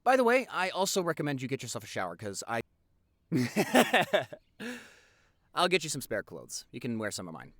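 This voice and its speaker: A man's voice: medium pitch, normal speed, pauses often, no echo, clean studio sound. A young man playing a playful, affectionate boyfriend who rambles chattily and teasingly in an intimate audio roleplay. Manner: teasing, animated, chatty, expressive.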